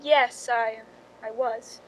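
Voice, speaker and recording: Radio-drama stand-in actress, guilt-ridden and confessional, high-pitched, played by a woman, with faint background noise. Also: fairly even intonation, slow pace, rough recording. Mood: nervous, guarded. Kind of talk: 1940s radio drama acting.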